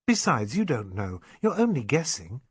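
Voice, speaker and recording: A man voicing a loyal, tender young English woman in an audiobook novel, soft, questioning and troubled. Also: medium pitch, very animated, moderate pace, husky, no echo. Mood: tender, firm.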